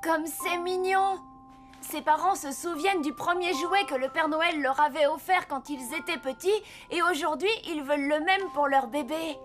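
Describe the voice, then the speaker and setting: A woman's voice: high voice, normal speed, fairly bright tone. A woman voicing a kind-hearted, eager young boy, an apprentice Santa in a cartoon, earnest and pleading in manner. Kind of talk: animated cartoon dialogue. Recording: light music behind the voice.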